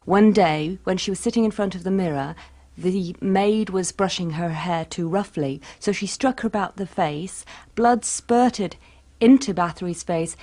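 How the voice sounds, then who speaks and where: Mellow-voiced woman lecturer. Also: medium pitch. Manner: measured, storytelling explanation. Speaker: lecturer in women's studies. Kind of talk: expert interview commentary.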